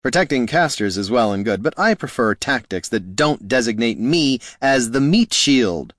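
A man's voice: medium pitch, sing-song, moderate pace, no room sound, studio quality. A man voicing an aggrieved, theatrical bard who complains indignantly in a fantasy storybook. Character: aggrieved, theatrical male bard and reluctant fighter.

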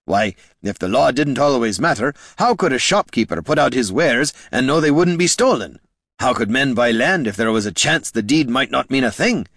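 A gruff, blunt male hero who growls his words plainly and defiantly, as a storybook reader puts him on. The voice sits a little above the reader's own, and the recording is close and dry. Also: medium pitch, animated, moderate pace, fairly bright tone, no echo, pitched above the performer's own voice. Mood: growling.

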